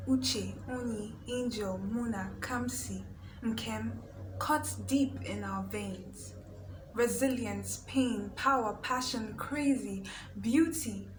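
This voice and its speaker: A woman reading spoken-word poetry in a measured, deliberate way. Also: a little high. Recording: echoey room, clear background noise.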